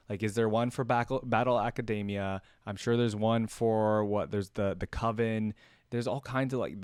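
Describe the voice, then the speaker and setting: A man's voice: lowish voice, a little mellow, no echo. Dry-sounding man, casual reactions. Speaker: reaction video host. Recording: dry, with game music behind.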